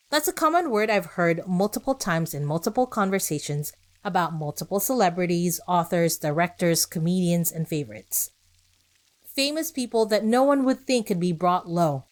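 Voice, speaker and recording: A woman's voice: fairly low pitch, animated, normal speed. Woman hosting a podcast, posing rhetorical questions. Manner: rhetorical questions and short emphatic phrases. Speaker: podcast host. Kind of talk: scripted podcast narration with conversational asides.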